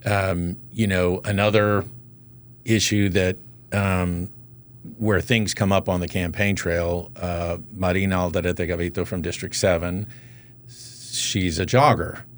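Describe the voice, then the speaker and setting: A man hosting a talk show who frames his interview questions in a measured way, pausing with fillers. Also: low voice, level tone. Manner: measured, pauses with fillers while framing questions.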